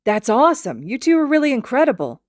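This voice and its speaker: An excitable young man, a martial arts student in an anime story, acted by a woman. The voice jumps high and gushes with admiration. The sound is dry. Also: fast, no echo. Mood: excited, admiring. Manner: gushing, enthusiastic. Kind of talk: anime fan fiction character reading.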